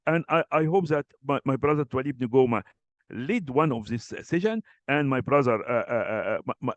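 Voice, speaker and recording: A man's voice: a little high, no echo. A man chairs a session and addresses his colleagues, speaking earnestly and hesitantly with frequent fillers. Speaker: webinar chair.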